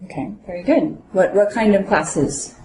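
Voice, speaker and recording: A woman playing an interviewing officer, asking brisk, polite questions. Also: mid-range voice, background noise. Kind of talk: scripted role-play.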